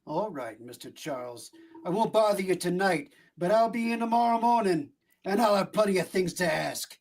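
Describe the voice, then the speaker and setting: A male actor as a brusque homicide cop from a vintage radio mystery. His voice sits notably high for a man, and he talks in blunt, tough interrogating lines, pressing and bossy. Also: lively intonation, moderate pace, darkish tone, slight background noise. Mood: suspicious, pressing.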